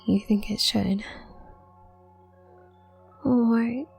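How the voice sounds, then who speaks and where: A woman playing a shy, awkward young witch student in an audio roleplay, speaking softly and breathily with a nervous, halting stammer. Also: a little high, fairly even intonation, vocal fry, slightly rough, faint music behind, exaggerated voice. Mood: confused, hesitant. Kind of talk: roleplay audio drama addressed to the listener.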